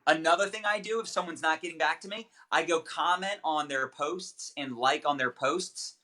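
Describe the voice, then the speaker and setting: Bright-voiced, upbeat chatty man. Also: on the high side, moderate pace. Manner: upbeat, chatty coaching with many fillers. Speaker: network marketing trainer, guest. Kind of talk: casual livestream interview.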